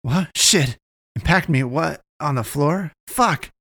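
A woman's voice: sits low, expressive, measured pace, no room sound, clean studio sound, pitched above the performer's own voice, heavily acted. A man reading a story aloud, voicing a panicky, foul-mouthed young woman who stammers and swears. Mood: panicked.

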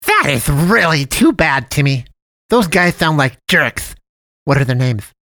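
A jolly, chubby storybook cat man, purring in a folksy drawl. His voice leaps high and loud and swoops all over as he coaxes and charms. The recording is dry and close. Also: sing-song, unhurried, dry recording, exaggerated voice. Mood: friendly, jolly. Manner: sweetly cajoling, theatrical.

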